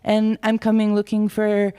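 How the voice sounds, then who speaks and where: An official speaks from the conference floor. Her voice is smooth and mellow, with little rise and fall. She makes her point bluntly and critically. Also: mid-range voice, completely flat delivery, moderate pace. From Mexico. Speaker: city government drug-prevention official. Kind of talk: question from the floor. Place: conference room.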